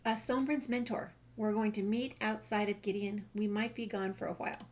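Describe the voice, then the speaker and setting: A woman's voice: mid-range voice, little pitch movement, moderate pace, a little mellow, a little hiss or hum. A woman doing a casual game walkthrough, reading dialogue choices aloud and commenting. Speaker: gamer narrating a walkthrough.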